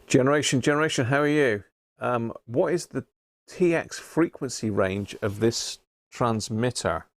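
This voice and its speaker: A man's voice: medium pitch, measured pace, no echo, studio quality. A man hosting a casual live stream, explaining things informally and without hurry as he demonstrates his hobby.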